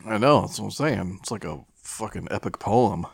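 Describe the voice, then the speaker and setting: Tipsy guy on a podcast, gravelly n swoopy, mock exasperated, swears a lot. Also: fairly low pitch, expressive, moderate pace, no room sound. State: tipsy after three shots. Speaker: podcast co-host. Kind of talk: casual comedic banter.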